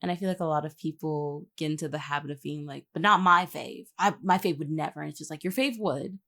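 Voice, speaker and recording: A woman's voice: low-pitched, quick pace, vocal fry, fairly bright tone. A woman chatting casually as a podcast guest, rambling a little as she thinks aloud. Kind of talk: casual chat.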